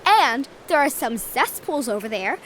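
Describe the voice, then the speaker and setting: A woman's voice: high-pitched, very animated, unhurried, a bit bright, a little hiss or hum, full character voice, rough recording. A woman voicing a bossy, brainy schoolgirl who loves science, pedantic and insistent, in a children's audio drama. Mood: know-it-all.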